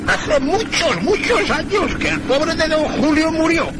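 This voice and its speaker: An actor playing an excitable, suspicious old taxi driver with a blunt manner in a horror radio play. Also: male voice, very high-pitched, measured pace, very noisy, exaggerated voice. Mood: startled. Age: older. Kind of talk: radio horror drama acting. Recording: radio play with loud music.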